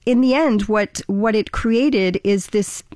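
A woman running for office talks through her positions in an even, explanatory way, often pausing with "um" and "uh". Her voice sits at a middle pitch. The studio sound is dry and close, with no room echo. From Ontario, Canada. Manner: measured, explanatory, frequent fillers. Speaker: political candidate. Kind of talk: radio interview.

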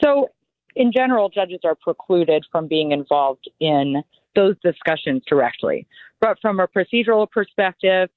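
A woman in her forties, a judicial candidate, answering interview questions in an earnest, measured, lawyerly way. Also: on the low side, lively intonation, no room sound. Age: 40s. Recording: phone-like line, dry.

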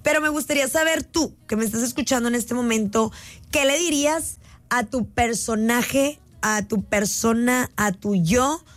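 A woman's voice: a little high, moderate pace, no room sound. A Mexican woman in her late twenties, a radio host, talking heartfelt and casual to her listeners and stretching key words out. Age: late 20s. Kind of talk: reflective casual monologue to listeners. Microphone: phone app mic.

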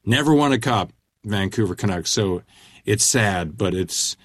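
A man's voice: lowish voice, lively intonation, a little slow, fairly bright tone, no room sound. A middle-aged man from Canada, a musician guest chatting casually, rambling and self-deprecating with lots of restarts. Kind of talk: casual interview chat.

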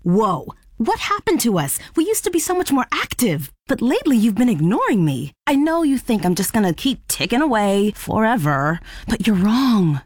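Woman doing a jokey ad, really lively up-and-down voice, dry close mic. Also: mid-range voice, no echo. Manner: playful, conspiratorial. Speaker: ad voice actor. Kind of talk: scripted comedic ad.

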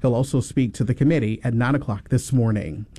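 A man reading a scripted local newscast in an even, measured way. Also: medium pitch. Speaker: news anchor.

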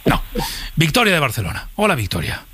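A man's voice: fairly high pitch, huge pitch swings, fairly quick, pushed and bright, breathy edge. A man hosting a radio show, chatting casually and taking calls from listeners. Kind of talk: lively chat with phone-in callers.

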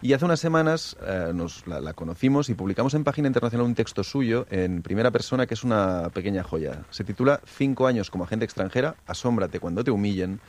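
Male co-host, quick and low, fluent and well prepared. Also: lively intonation, darkish tone. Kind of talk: radio interview.

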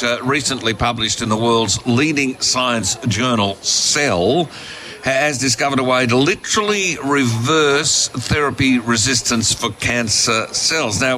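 A man's voice: medium pitch, sharp tone. An Australian man hosting a morning talk radio show, chatty and upbeat as he interviews a guest. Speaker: radio host.

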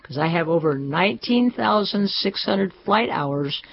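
A woman's voice: lowish voice, lively intonation, a little slow, creaky. An older woman speaking proudly and emphatically in an archive interview. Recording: dull archive recording.

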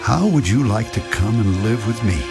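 A gentle, kindly widower. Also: male voice, on the low side, animated, moderate pace, creaky, over loud music. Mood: tender. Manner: soft, tender, reassuring with a child. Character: gentle, kindly grieving man. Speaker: widowed friend. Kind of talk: radio drama acting.

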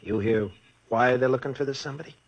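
An actor playing a glib young racetrack hustler who talks in slang, in 1940s radio style. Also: male voice, mid-range voice, highs cut off, lightly acted. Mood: guarded. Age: young adult. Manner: glib, fast-talking, slangy. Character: smooth-talking young male racetrack hustler.

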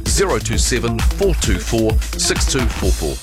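A man's voice: on the low side, sing-song, a little slow. A man reading a community notice in an upbeat, scripted way. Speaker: announcer. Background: music bed.

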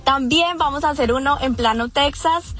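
Colombian woman guest, high voice, earnest, restarts often, faint music underneath. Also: moderate pace, fairly bright tone. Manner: earnest, many fillers and restarts. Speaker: event organizer, guest. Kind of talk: radio interview.

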